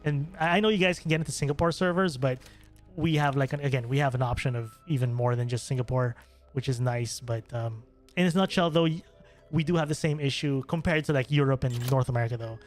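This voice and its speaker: A young man from the Philippines talks to his stream chat in a mid-pitched voice, looping back and restarting his sentences as he answers questions. Faint music plays underneath him. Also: a little fast. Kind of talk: casual gameplay stream chat.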